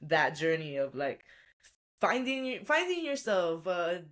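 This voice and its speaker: A woman's voice: fairly low pitch, sing-song, a little slow, a bit bright. A woman podcast guest, a life coach, telling her story in a reflective, chatty way with frequent laughs. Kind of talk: casual interview storytelling.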